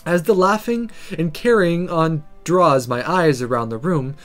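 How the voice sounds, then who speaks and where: A let's player reading a visual novel aloud in a dramatic, sometimes halting storytelling manner. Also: mid-range voice, soft background music.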